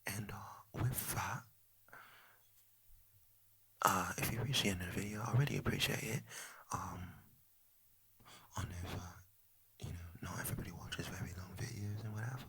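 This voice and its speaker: A man's voice: low voice, steady tone, normal speed, gravelly, pauses often, a little hiss or hum. Whispering man with a put-on British accent. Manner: soft whispering, rambling and easily sidetracked. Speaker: ASMR creator. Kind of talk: rambling ASMR whisper chat.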